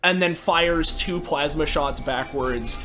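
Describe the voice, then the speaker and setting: A man playing a tabletop game who describes his character deliberately and in detail. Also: a little high, loud music behind the voice. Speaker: player. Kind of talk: narrating his character's actions.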